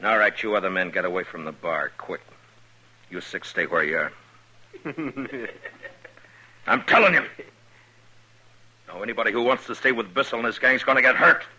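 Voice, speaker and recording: An actor playing a steady, tough frontier marshal who also narrates, in a calm, clipped, commanding 1950s radio drama style. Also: male voice, on the low side, normal speed, long pauses, low-quality recording. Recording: old radio broadcast with music.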